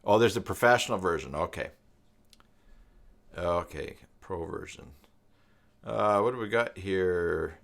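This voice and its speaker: A middle-aged man with a fairly low voice hosts a live stream in a casual, chatty way. He rambles and thinks aloud, moving between reading things out and talking directly to his viewers. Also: measured pace, vocal fry, long pauses. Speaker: live stream host, self-employed web tutor.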